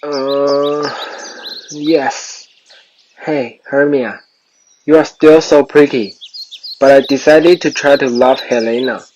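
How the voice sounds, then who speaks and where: A woman's voice: extremely low pitch, steady tone, takes it slowly, pauses often, darkish tone, a little hiss or hum. A woman playing a boastful, lovestruck young male Athenian suitor in an amateur radio drama, speaking emphatically. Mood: dazed, fond.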